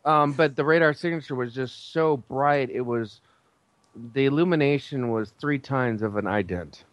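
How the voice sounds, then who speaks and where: A man telling a story casually as a guest, halting and searching for his words. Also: medium pitch, no echo, muffled recording. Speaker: guest, air traffic controller.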